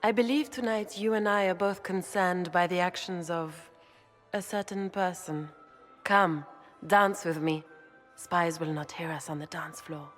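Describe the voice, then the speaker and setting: An actress playing a scheming aristocratic grand duchess in a video game, with a French accent and formal, measured, insinuating speech. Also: female voice, on the low side, fairly even intonation, faint music behind, lightly acted. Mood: courtly, probing. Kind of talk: video game character acting.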